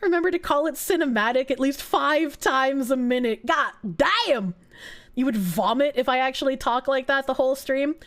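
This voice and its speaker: A woman's voice: high-pitched, moderate pace, fairly bright tone. A woman with a Boston accent streaming and chatting casually with her viewers, swearing freely and laughing a lot, while she is getting over a cold. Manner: laughs often, swears freely, rambles in tangents. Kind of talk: casual chat, reading and answering viewer chat.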